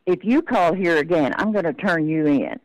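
A woman's voice: fairly low pitch, lively intonation, normal speed, darkish tone, poor audio. An older woman talking on the telephone in a plain-spoken, admonishing manner. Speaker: prank call recipient. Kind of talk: phone conversation.

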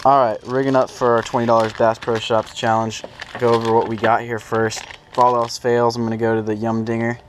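Young male fishing vlogger, casual slangy delivery, medium pitch, noticeable outdoor noise on an action camera. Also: level tone, a little fast. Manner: informal, slangy. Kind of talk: casual first-person vlog chat. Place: outdoors, at a car trunk and on a small pond boat. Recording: clearly noisy outdoor action-camera audio.

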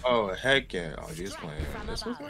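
A man's voice: on the low side, huge pitch swings, moderate pace, bright, forceful tone, soft background music. A young man in casual game chat with friends, playful and jokey. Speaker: gamer in voice chat.

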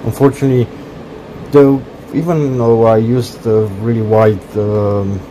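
A man casually walking through his home-built cockpit project, talking haltingly with many fillers. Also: medium pitch, fairly even intonation, background noise. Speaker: hobbyist cockpit builder. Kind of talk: casual build walkthrough. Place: workshop.

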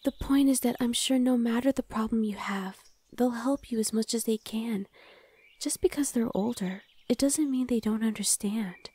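A young woman's voice, close and soft, as a woman plays a caring older sister who reassures in a hushed half-whisper. The tone is mellow and warm, and it sits a little high. The sound is dry, with no room around it. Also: slightly rough, no echo. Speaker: voice actor. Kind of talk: ASMR roleplay.

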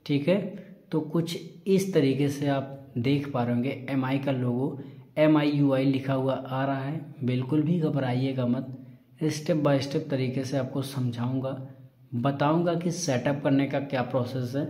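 Mellow man, echoey tutorial voice. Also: mid-range voice, steady tone, a little slow. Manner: reassuring, repetitive explaining. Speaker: tech tutorial presenter. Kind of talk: informal step-by-step phone tutorial. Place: indoor room. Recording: echoey room.

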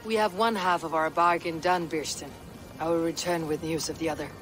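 Woman acting a low, husky Viking warrior. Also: steady tone, fairly quick, soft background music, natural voice. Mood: steady. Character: battle-hardened Viking warrior woman, steady and blunt. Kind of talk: video game drama acting. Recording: background ambience under game dialogue.

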